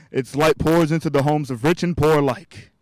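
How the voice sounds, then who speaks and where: A man's voice: fairly high pitch, slow pace, darkish tone, no echo. A man acting a jolly giant Christmas spirit in a radio play, hearty and declamatory. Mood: jovial, grand. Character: jolly giant male Christmas ghost, booming and merry.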